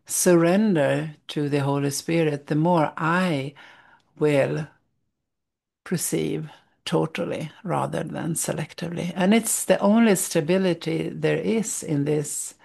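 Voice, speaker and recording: Slow, gravelly Swedish-accented woman. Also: deep voice. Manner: thoughtful, searching for words, soft restarts. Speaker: spiritual study teacher. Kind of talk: reading aloud and reflecting on a spiritual text.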